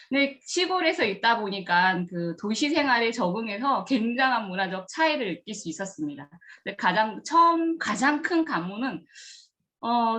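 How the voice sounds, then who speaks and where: Lively-voiced woman telling her career story. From rural Gyeongsang region of Korea. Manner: earnest, acts out remembered dialogue with lively pitch jumps. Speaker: kindergarten director giving a career talk. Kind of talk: storytelling career talk to students.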